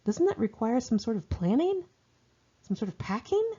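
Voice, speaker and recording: A woman's voice: a little high, very animated, moderate pace, dark tone, husky, lo-fi sound, voice pushed up. An American woman voicing her sarcastic, exasperated teenage self with slangy incredulity in a memoir reading. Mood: incredulous.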